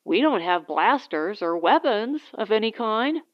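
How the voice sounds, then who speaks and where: A woman's voice: medium pitch, very animated, unhurried, highs cut off. A woman voicing an anxious but plucky young wife in a space adventure audiobook, questioning and vulnerable.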